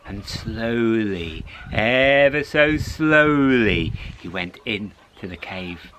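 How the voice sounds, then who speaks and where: A man telling a story to children in an expressive, encouraging way, repeating phrases for dramatic suspense. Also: on the low side, drawn-out words, a little hiss or hum.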